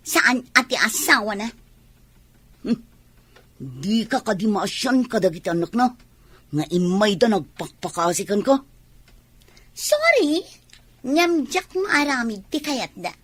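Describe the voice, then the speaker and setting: An actress with an Ilocano accent playing a defiant, selfish mistress in a dramatic, haughty radio drama style. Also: female voice, a little high, bright, forceful tone, husky, no echo, exaggerated voice. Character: defiant, selfish mistress torn over her married lover.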